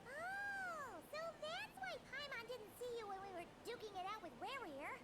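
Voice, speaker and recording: A woman voicing a tiny, excitable companion creature with a squeaky, high voice, eager and blurting out questions. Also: normal speed. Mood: excited. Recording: game audio with loud noise.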